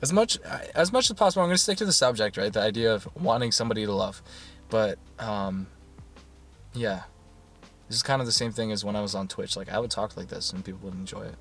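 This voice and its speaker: Soft-spoken man rambling over music. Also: lowish voice, quick pace. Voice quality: soothing. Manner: soft-spoken, meandering, many fillers and restarts. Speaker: YouTuber. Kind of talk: casual rambling monologue.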